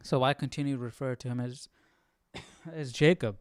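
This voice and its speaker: A man with a smooth, clear, mid-pitched voice gives casual study commentary. He puts questions to himself and reasons aloud, in a dry, close sound. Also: moderate pace, no room sound. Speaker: Bible study host.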